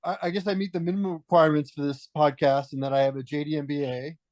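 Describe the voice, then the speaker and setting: A man's voice: a little high, steady tone, fast talker, a little mellow, no echo. A man talking as a podcast guest, telling his story in a reflective, hesitant way and often restarting phrases.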